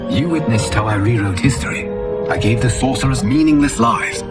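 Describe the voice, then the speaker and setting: A man playing a solemn godly guardian in a video game, speaking formally and with measured authority. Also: medium pitch, lightly acted. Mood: grave concern. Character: solemn godly male protector of the realm. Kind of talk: cinematic video game acting. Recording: loud music behind the voice.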